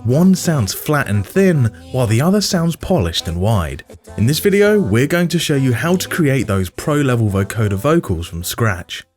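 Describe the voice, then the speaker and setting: A man delivers scripted tutorial narration as a host would, with a pitch that moves around in an animated way. His voice sits in a middle register. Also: moderate pace, faint music behind. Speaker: music production tutorial host.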